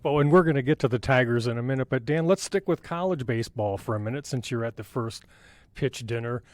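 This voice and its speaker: A man's voice: mid-range voice, fast talker, no room sound. A man hosting a radio show, asking questions in a conversational interview.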